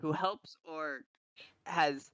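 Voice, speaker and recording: A man's voice: fairly high pitch, drawn-out words, crowd noise. A man chatting casually over a voice call, explaining things in a matter-of-fact way. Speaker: multiplayer pilot guest.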